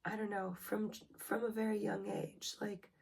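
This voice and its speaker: A young woman getting over a cold, hoarse and breathy, talking through a personal self-help vlog with hesitant ums. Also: medium pitch, flat delivery. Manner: slow, hesitant, with many ums and soft whispery breaths. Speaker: relationship vlogger.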